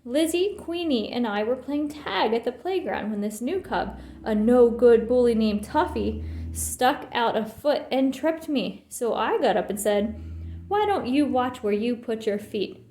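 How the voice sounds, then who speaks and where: A woman's voice: on the high side, animated, a little slow, mellow, roomy sound. A woman voicing an aggrieved little girl bear cub in a storybook, indignant and emphatic.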